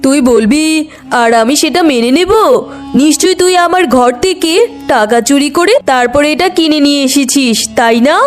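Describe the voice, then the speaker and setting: A woman's voice: sits high, moderate pace, close to an everyday voice. A woman voicing a harsh, greedy tigress aunt with an accusing, nagging manner in a children's cartoon. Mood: angry. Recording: faint music behind the voice.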